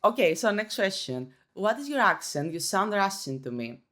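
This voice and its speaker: A woman's voice: medium pitch, very animated, normal speed, fairly bright tone. A young woman with a Greek accent answering viewer questions in a casual, chatty vlog, often restarting her sentences. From Greece. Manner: chatty, self-conscious, with frequent restarts and fillers. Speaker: YouTuber. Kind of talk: casual Q&A chat.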